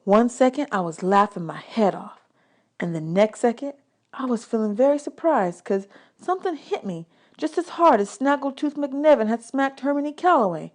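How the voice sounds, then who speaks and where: A woman reading a children's novel aloud as an expressive storyteller, drawing out words for emphasis. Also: on the high side, moderate pace. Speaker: teacher reading a book aloud. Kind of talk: expressive audiobook read-aloud of a children's novel in first person.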